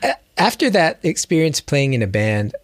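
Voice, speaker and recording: A man's voice: fairly high pitch, big pitch swings, moderate pace, dry recording. Reflective man chatting in a close, dry recording. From Hawaii, United States. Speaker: guest musician and music instructor. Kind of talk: casual interview storytelling.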